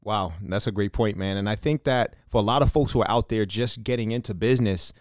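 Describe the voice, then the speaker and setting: A man's voice: lowish voice, a little fast, no echo, clean studio sound. A man hosting a podcast interview, upbeat and encouraging, with many fillers. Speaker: podcast host. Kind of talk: casual interview.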